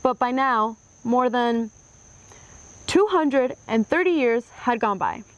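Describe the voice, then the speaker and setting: A woman narrating a children's history book aloud with clear, expressive storytelling. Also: on the high side, slow pace, no room sound, muffled recording.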